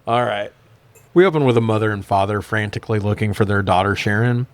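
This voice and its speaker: Low-voiced man, casual podcast co-host. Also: fast talker, dry recording. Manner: informal, profane, wry. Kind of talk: casual film review chat with loose plot recaps.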